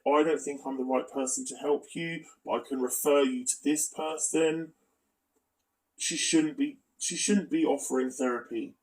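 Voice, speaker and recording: A man's voice: medium pitch, darkish tone, distant mic, poor audio. A man narrating a scripted exposé as a video commentator, with asides and a critical, accusatory manner.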